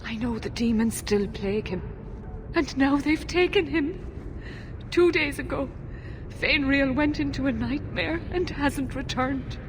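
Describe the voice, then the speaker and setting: An actress playing an anxious, grieving elf mother, gentle and grateful, in a fantasy video game. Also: measured pace. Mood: worried, sorrowful. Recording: noisy game capture with rumble.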